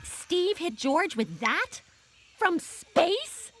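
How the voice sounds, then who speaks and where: A sassy, doubting cartoon girl, pitched very high. She speaks in casual teen fashion with animated intonation. Loud music plays behind her. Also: very slow, full character voice. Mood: incredulous. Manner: casual teen-like speech full of "like".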